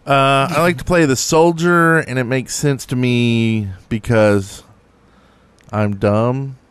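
A man's voice: medium pitch, fairly even intonation, slow, a little hiss or hum. An American man co-hosting a podcast in casual, joking banter. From Alabama, United States. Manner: joking, playful. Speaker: podcast co-host.

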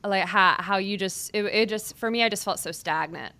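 A woman's voice: medium pitch, normal speed, pushed and bright. A woman with a mid-range voice hosts a sports podcast interview. She speaks earnestly, often stopping and restarting her sentences as she works out her thoughts. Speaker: sports beat reporter and podcast host. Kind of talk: conversational interview.

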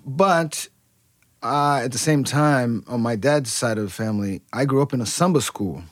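A man's voice: mid-range voice, moderate pace, no room sound, clean studio sound. A man from Brazil, a musician, talking casually in an interview with many restarts and fillers. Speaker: musician guest.

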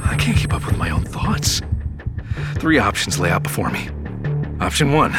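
A man's voice: fairly low pitch, very animated, normal speed. An actor playing a terrified, wired captive who narrates his ordeal in clipped inner monologue for an audio drama. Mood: racing, panicked. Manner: clipped present-tense inner monologue, swearing. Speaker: narrator and lead character. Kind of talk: first-person scripted audio drama narration. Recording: loud music bed under the voice. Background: dramatic music.

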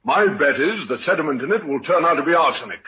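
An actor playing a cool, firm police inspector, measured and dry, in vintage radio drama style. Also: male voice, fairly high pitch, lively intonation, breathy edge. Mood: firm, assured. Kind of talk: 1940s-50s radio acting. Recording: tinny old radio with faint noise.

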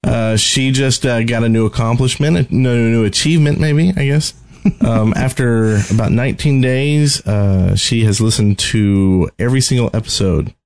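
A man co-hosting a gaming podcast, talking casually in a somewhat hesitant, halting way. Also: mid-range voice, steady tone, a little mellow. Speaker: podcast co-host.

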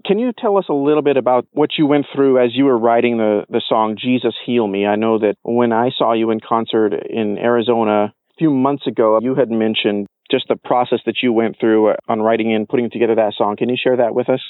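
A man's voice: medium pitch, a little fast, few pauses, no echo, narrow, tinny audio. A man hosting an interview, politely putting prepared questions to his guest. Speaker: interviewer, show host.

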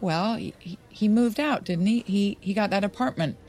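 A woman's voice: medium pitch, moderate pace, a little mellow, lightly acted. A woman playing a chatty, tactless friend in a naturalistic audio drama, stammering as she backpedals. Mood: awkward, backpedalling.

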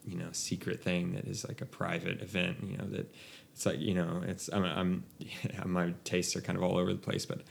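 A man's voice: low-pitched, monotone, normal speed, gravelly, few pauses, slight background noise. A young man chatting casually about music on a podcast, rambling with many restarts. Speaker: podcast co-host.

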